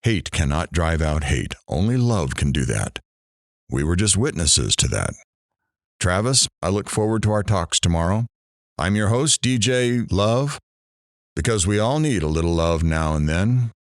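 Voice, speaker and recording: A man playing a sympathetic, philosophical radio talk show host in an audio drama, gently probing and reflective. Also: low voice, very animated, measured pace, dry recording, studio quality, heavily acted.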